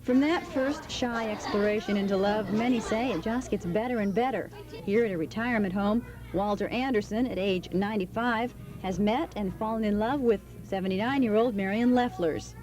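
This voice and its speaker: A woman's voice: medium pitch, moderate pace, music in the background. An American woman working as a TV news reporter, reading scripted report narration. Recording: noisy archival 1980s TV news tape.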